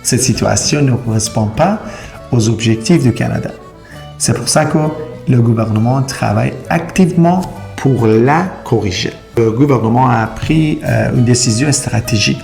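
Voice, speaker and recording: Gravelly-voiced man. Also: mid-range voice, moderate pace, a little mellow, soft background music. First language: Persian and Turkish. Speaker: immigration consultant. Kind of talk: explainer video to camera.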